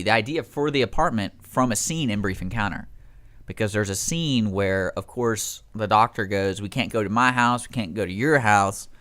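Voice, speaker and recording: A man's voice: lowish voice, fairly bright tone. Rambling, teasing male radio host. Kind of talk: casual chat. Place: radio studio.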